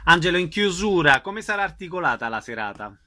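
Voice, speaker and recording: A man's voice: fairly high pitch, big pitch swings, fairly quick, bright, forceful tone. A man working as an interviewer, informally putting questions and briefly agreeing as he listens.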